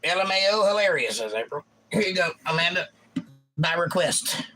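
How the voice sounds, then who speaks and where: A hoarse man in his sixties hosting a casual livestream, rambling and reading out viewer comments. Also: high voice, lively intonation, normal speed, poor audio. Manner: rambling, folksy storytelling. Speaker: livestream host. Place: home livestream with guitar. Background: loud guitar playing.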